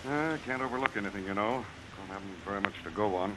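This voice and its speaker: An actor playing a courteous, brisk police lieutenant, a man in an old radio drama. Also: on the low side, close to an everyday voice. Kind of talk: 1950s radio drama acting. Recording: noisy old radio broadcast, off-mic.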